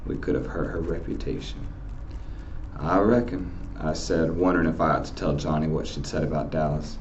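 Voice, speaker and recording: A man's voice: a bass voice, steady tone, quick pace, muffled recording, slight background noise. A man, a teacher, narrating a novel aloud.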